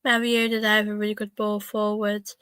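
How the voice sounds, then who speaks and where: A woman's voice: medium pitch, completely flat delivery, moderate pace, a bit bright, smooth, no echo, studio quality. A young woman with a cold hosting a fan watchalong, talking through the match casually with lots of ums and restarts. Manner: hesitant, many ums and restarts, chatty. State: has a cold, coughing. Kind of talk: casual live match commentary.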